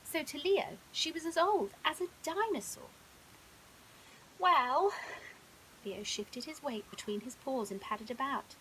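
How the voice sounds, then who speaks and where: A woman's voice: high-pitched, expressive, moderate pace, few pauses, a bit bright, poor audio. A woman narrating a children's bedtime story expressively, like a primary school teacher reading aloud. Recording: hissy background noise.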